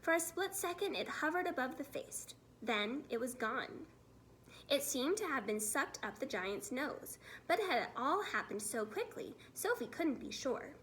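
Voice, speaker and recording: Female storybook reader, teacherly read-aloud narration, high voice with lively swings in pitch. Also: a little slow, slight background noise, rough recording.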